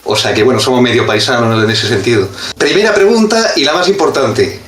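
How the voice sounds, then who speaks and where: A man's voice: mid-range voice, expressive, a little fast, a bit bright. Echoey, slightly distant Spanish man. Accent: Spain. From Madrid, Spain. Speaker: podcast co-host, interviewer. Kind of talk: casual, joking chat. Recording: echoey, distant mic, faint music.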